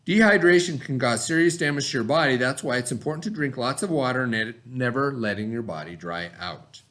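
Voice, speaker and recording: A man's voice: medium pitch, animated, brisk, a bit bright. A middle-aged man reading a lesson aloud to children as their teacher, with simple, instructive explanations.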